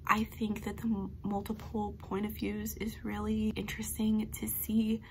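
A young woman in her twenties, a little hoarse from a cough, chatting enthusiastically about books in her bedroom to a webcam. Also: medium pitch, completely flat delivery, normal speed, slight background noise. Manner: upbeat, enthusiastic, rambling. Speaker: book vlogger. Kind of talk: casual book review chat. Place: bedroom, indoors. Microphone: webcam facing her at close range.

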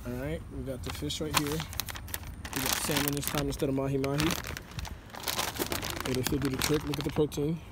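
A man's voice: on the high side, flat delivery, a little fast, long pauses. Young man vlogging on a phone. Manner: laid-back, slangy, instructive asides. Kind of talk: casual vlog chat. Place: car and big warehouse store. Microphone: handheld phone camera at arm's length. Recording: noisy store and car background.

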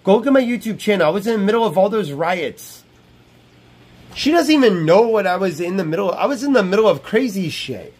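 A man with a slightly high voice talks loosely and profanely on a live stream from a small room. He circles back and repeats his points, bragging and riffing with chat. His earbud mic sits close to his mouth. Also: expressive, a little fast, darkish tone, breathy edge. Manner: brash, profane, repeats himself. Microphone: wired earbud headset mic.

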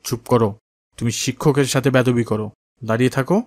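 A dignified, firm schoolteacher in a moral cartoon, acted by a man. He speaks instructively at a medium pitch. The recording is dry, with no room sound. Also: fairly even intonation, fast talker, darkish tone, no echo, close to an everyday voice. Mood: stern, commanding. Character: firm, principled maths teacher in a skullcap. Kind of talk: cartoon dialogue acting.

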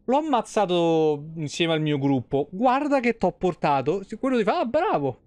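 A man's voice: sits high, very animated, moderate pace, a little mellow, no echo. A man in his thirties giving casual, slangy, sweary and sarcastic live commentary on a game. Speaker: game streamer. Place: small room at home, indoors.

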